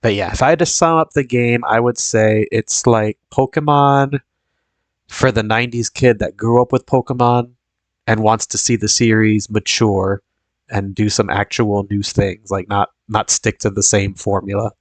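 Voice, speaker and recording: A man's voice: fairly low pitch, steady tone, moderate pace, dry recording, poor audio. A young man with a sniffly, congested voice from a cold, chatting casually as a live-stream host in a rambling way full of fillers and restarts.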